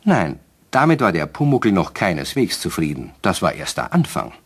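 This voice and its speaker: A man's voice: sits low, very animated, moderate pace, husky, heavily acted. A man narrating a German children's radio play as a scripted storyteller.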